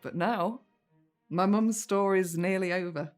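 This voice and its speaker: An actress playing a caring, sentimental middle-aged English daughter in a naturalistic audio drama, tender and conversational. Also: female voice, medium pitch, unhurried, soft background music, close to an everyday voice. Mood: reflective.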